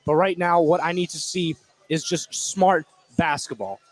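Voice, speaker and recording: High-pitched man giving informal basketball analysis. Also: fairly even intonation, a little slow. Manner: informal, opinionated. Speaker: color commentator. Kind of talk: conversational live basketball analysis.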